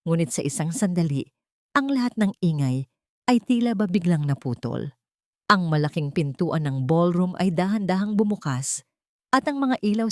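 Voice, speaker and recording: A woman's voice: low-pitched, warm tone, dry recording, studio quality. A woman reads a scripted love drama as a storyteller, in a dramatic narrating style.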